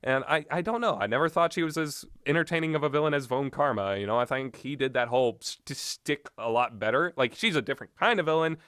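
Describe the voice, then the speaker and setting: A man giving rambling, opinionated game commentary in a blunt, exasperated, sweary and halting manner. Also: medium pitch, expressive, fairly bright tone, clean tone, dry recording, studio quality. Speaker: video game reviewer.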